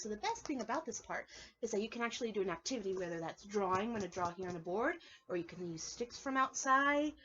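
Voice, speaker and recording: A woman preschool teacher reading a storybook aloud to young children in an animated, instructive way, often putting questions to her listeners. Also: medium pitch, muffled recording, slight background noise.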